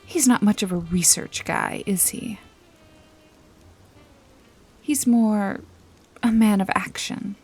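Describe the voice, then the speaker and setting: Noir ASMR detective, female performer: sly, bossy woman, soft breathy delivery at a slow pace, dry teasing wit. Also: medium pitch, soft background music. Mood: brisk, wry. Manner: wry, teasing, coaxing, breathy asides. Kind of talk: noir mystery ASMR roleplay, scripted, addressed to listener.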